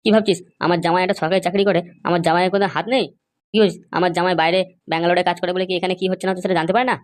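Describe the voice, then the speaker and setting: Woman voicing a fast-talking angry old grandfather. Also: fairly low pitch, flat delivery, close to an everyday voice. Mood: threatening. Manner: scolding, threatening. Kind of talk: amateur comedy cartoon dub.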